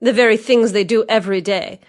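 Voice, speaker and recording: A man's voice: high voice, brisk, voice pushed up. A man reading an audiobook, voicing a witty, bored young noblewoman who speaks merrily and archly. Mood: arch, teasing. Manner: merry, arch, teasing.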